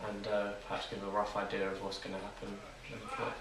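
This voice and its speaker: An eighteen-year-old man answering interview questions in a measured, tentative way. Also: on the low side, monotone, background noise. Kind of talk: casual answers. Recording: echoey room, far from mic.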